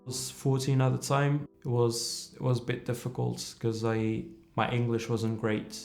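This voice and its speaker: A man in his thirties with a Portuguese accent speaks hesitantly, often restarting his phrases. His voice is gravelly and fairly flat, and the room adds echo over faint music. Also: medium pitch, normal speed. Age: 30s. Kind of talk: documentary interview. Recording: roomy interview with music bed.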